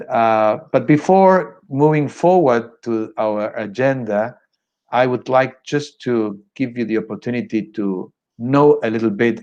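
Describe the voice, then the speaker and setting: A man hosting a conversational interview over a video call, asking questions in long, halting sentences full of fillers. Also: mid-range voice, a little mellow, highs cut off. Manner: wordy, halting phrasing with fillers. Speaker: interview host.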